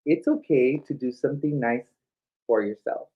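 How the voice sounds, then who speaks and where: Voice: medium pitch, moderate pace. A drag queen co-hosting a children's story time, reading aloud with playful, campy banter over livestream audio. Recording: slightly dull livestream audio.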